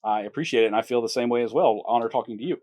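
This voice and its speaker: A man's voice: mid-range voice, rapid-fire, a little mellow, no echo. Upbeat male podcast host, dry sound. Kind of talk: podcast interview with a sponsor read.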